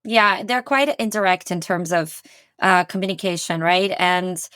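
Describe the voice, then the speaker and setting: A woman's voice: lowish voice, a little slow, a bit bright, no room sound, clean studio sound. A woman hosting a podcast interview, upbeat and fluent as she asks questions and reflects. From Canada. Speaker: podcast host. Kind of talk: interview conversation.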